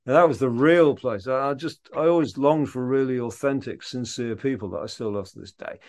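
Fifties english guy, mild accent, animated storyteller, laughs at himself. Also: medium pitch, fairly quick. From England, Liverpool area. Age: 50s. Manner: animated, self-mocking, laughs often. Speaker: guest, theatre maker. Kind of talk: casual interview talk.

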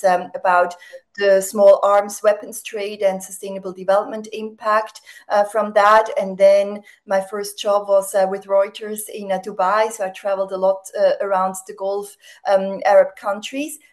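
A woman from Switzerland, native German speaker, talking as a guest in a radio interview, with many "uh" and "um" pauses as she searches for words. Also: medium pitch, level tone. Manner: warm-spoken, many fillers, searching for words. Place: remote, not in studio.

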